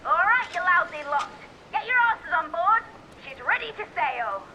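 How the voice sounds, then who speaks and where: An actress playing a brash, feisty young ship's captain barking salty orders in a video game. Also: female voice, very high-pitched, wildly swooping pitch, slow, lo-fi sound, a little hiss or hum, full character voice. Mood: cocky, bossy. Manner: bossy, salty, shouting orders. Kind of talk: cinematic video game acting.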